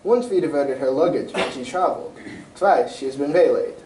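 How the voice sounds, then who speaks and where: An amateur actor, a man, playing an anxious, proud European king in a radio drama style, formal, grave and halting. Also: a little high, lightly acted. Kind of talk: 1940s-style radio mystery acting. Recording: roomy, distant stage recording with faint noise.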